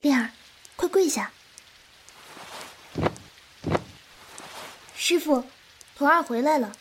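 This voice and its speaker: Gentle high-voiced young female disciple. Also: lively intonation, normal speed, noisy background. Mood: respectful, anxious. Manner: gentle, patient explaining. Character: gentle, compassionate young female disciple in martial-arts tale. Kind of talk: Chinese period audio drama acting.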